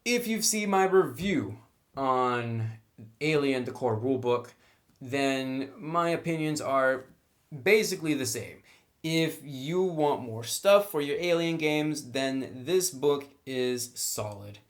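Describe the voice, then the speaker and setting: A man's voice: medium pitch, animated, takes it slowly. A man reviewing a game book in a casual, unscripted way, explaining things informally and conversationally.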